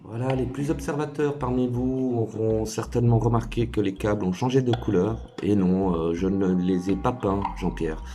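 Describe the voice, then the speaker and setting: A man's voice: lowish voice, fairly even intonation, normal speed, hardly any pauses, mellow, faint music behind. A man giving a casual hands-on tutorial, informal and hesitant, thinking aloud as he works. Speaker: DIY tutorial maker.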